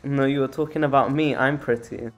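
A man's voice: mid-range voice, fairly even intonation, a little fast, soft background music. A man co-hosting a casual game tour, talking in a teasing, playfully boastful way. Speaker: co-host of a Roblox build tour.

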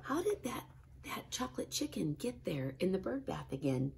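A middle-aged woman speaks as a kindergarten teacher to young children. Her voice sits on the low side but moves in a very animated way, in the expressive style of storybook reading. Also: moderate pace, creaky. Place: small classroom, indoors.

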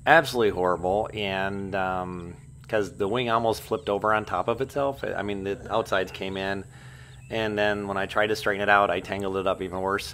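A man's voice: fairly low pitch, a bit bright, slight background noise. A man in his fifties with a cold, rambling with many ums and uhs as he talks over his own video from a field. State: has a cold. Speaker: hobby paraglider vlogger. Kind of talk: casual voice-over commentary. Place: outdoors in an open field.

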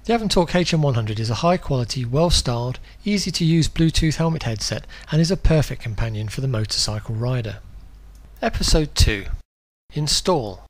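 A man reading a scripted instructional product guide in a clear, step-by-step way. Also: medium pitch, animated, normal speed.

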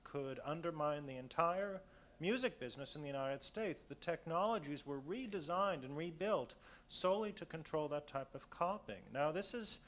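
Measured man arguing policy on a panel. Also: fairly high pitch, animated, fairly bright tone. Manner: measured, argumentative policy talk. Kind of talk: panel discussion. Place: hotel conference room. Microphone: table mic on a stand.

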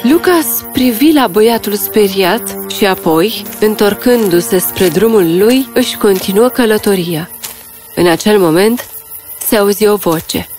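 A woman narrates a fairy tale in a scripted storytelling style. Music plays clearly behind her mid-pitched voice. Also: a little mellow.

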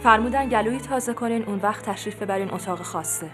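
A woman's voice: medium pitch, over music, close to an everyday voice. An actress playing a deferential woman of an aristocratic household in a period television drama, with formal old-fashioned diction.

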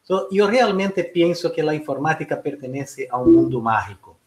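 A man's voice: a little high, lively intonation, normal speed, a little mellow. Man, roomy home office. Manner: chatty, with frequent filler sounds and restarts. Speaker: conference speaker. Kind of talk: online conference talk.

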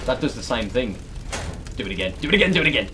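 A British man in his thirties chats casually through a horror game let's play. His pitch moves around a lot and he swears in exasperation. Clear background noise and game ambience sit behind his voice. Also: very animated, racing. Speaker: let's player. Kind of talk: casual reaction commentary over a horror game.

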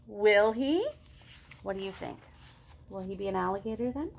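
A woman in her thirties reading aloud to young children as a storytime host, expressive and full of questions to draw them in. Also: medium pitch, normal speed, gravelly. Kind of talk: animated picture-book read-aloud for young children. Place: living room at home.

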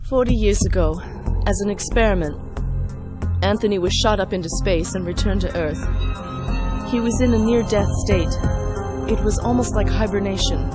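Actress as young woman, game drama: earnest, lonely, deliberate, medium pitch, music underneath. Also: lightly acted. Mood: grave, explanatory. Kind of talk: dramatic video game cutscene acting. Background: background music.

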